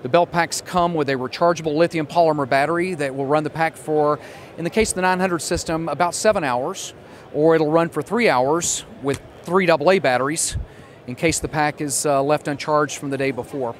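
A man's voice: fairly high pitch, a little fast. An American man in his fifties giving a product demonstration as a sales manager. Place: large indoor trade show hall, at a booth. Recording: clear trade show floor noise. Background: busy exhibition hall ambience.

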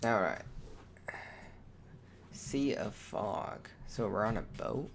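A man's voice: fairly low pitch, slow pace, vocal fry, background noise. A man giving casual, mocking commentary as he plays a video game, grumbling sarcastically. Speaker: gaming video host. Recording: loud game audio behind the voice.

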